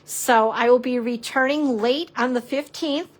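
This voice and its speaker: A woman teaching a live craft demonstration, chatty and prone to laughing at herself, a little hoarse as she's losing her voice. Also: fairly high pitch, unhurried. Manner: chatty, giggly, self-deprecating, exclamatory. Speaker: papercrafting instructor.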